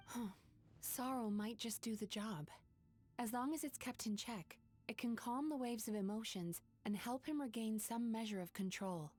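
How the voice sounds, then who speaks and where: A woman voicing a calm, measured female dream-eating spirit who heals in a game. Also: fairly high pitch, natural voice.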